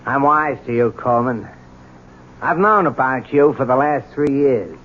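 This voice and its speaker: An actor playing a lazy, insolent, spiteful business partner, brash, slangy and sarcastic, in a 1970s radio drama. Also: male voice, mid-range voice, a little slow, natural voice. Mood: accusing, spiteful. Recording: dull, noisy old radio broadcast recording.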